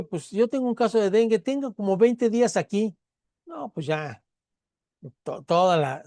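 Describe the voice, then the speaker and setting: A man's voice: on the high side, dark tone, no echo, clean studio sound. A man with a Mexican Spanish accent giving a university lecture in a conversational way, acting out patient dialogues and checking in with students. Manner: conversational, acts out patient dialogues, frequent fillers and tag questions.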